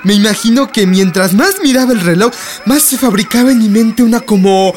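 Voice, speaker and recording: An actress playing a reflective, self-justifying television devotee, delivering an articulate radio drama monologue. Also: female voice, on the high side. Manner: musing, articulate.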